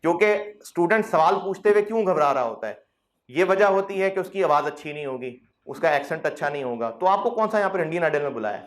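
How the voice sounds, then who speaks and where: A man's voice: a little high, expressive, fast talker, fairly bright tone. A male accounting lecturer, talking to students in a classroom in a chatty, coaxing way. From Pakistan. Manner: conversational, coaxing, teasing with mock quotes.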